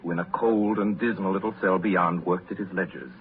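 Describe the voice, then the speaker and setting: A man's voice: low voice, steady tone, a little fast, natural voice. A man narrating a 1930s radio drama as scripted storytelling, grave and theatrical. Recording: narrow-band 1930s radio, faint music behind.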